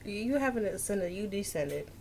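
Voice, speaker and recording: A woman's voice: medium pitch, lively intonation, moderate pace, gravelly, a little mellow, a little hiss or hum. A young woman chatting casually and reading a visual novel aloud as a streamer. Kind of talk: casual let's-play chat and dramatic read-aloud narration.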